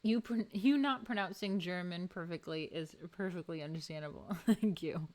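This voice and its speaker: A woman with a New York accent and a fairly low voice reads an art history book aloud for her viewers on a stream. She breaks off now and then to add wry, offhand comments of her own. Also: moderate pace, a little mellow. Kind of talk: casual livestream reading and commentary.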